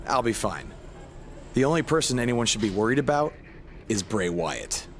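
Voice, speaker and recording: A man's voice: medium pitch, very animated, measured pace, fairly bright tone. A man playing a determined, wry, battered but defiant pro wrestler in a video game cutscene, with some noise behind him. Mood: confident.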